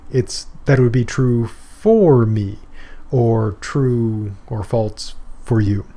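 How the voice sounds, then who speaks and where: A man's voice: mid-range voice, a little mellow. A middle-aged man from Maine, a philosophy professor, giving a recorded course lecture in a conversational way, explaining with examples and asides. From Maine, USA.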